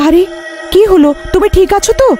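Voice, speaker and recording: Woman voicing a high, fretful village wife. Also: a little fast, warm tone, faint music behind. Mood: puzzled, worried. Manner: exclaiming, questioning, fretful. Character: worried, anxious village wife.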